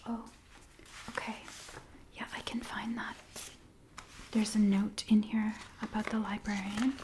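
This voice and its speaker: A woman doing a soft-spoken ASMR roleplay as a biographer, gently and attentively addressing the listener as her client. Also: medium pitch, little pitch movement, a little slow, noisy background.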